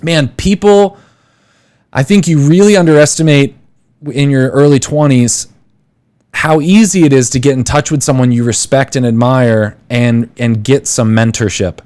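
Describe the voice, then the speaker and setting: A man's voice: medium pitch, normal speed, darkish tone. A man in his thirties hosting a live call-in show, giving casual advice in a rambling way with many fillers and restarts. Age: 30s. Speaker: podcast host.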